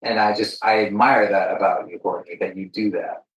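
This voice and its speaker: A man's voice: on the low side, fairly even intonation, brisk. A man hosting a podcast in casual chat, emphatic and self-deprecating, repeating himself for effect. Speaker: podcast host, actor. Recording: echoey room, distant from mic.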